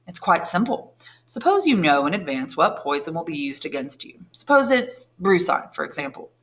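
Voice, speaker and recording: Woman reading a suave nobleman: calm, knowing, courteous, lower-pitched storybook voice. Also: exaggerated voice. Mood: smooth, knowing. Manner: measured, courteous, lecturing.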